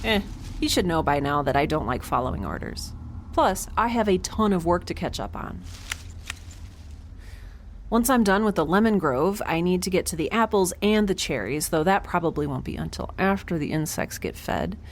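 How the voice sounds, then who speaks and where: Chatty, animated, wry spaceship scientist. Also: female voice, lowish voice, moderate pace, a little hiss or hum. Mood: breezy, wry. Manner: chatty, rambling, sarcastic, self-deprecating. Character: quirky young woman scientist aboard a spaceship, nervous, wry. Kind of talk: conversational audio drama acting.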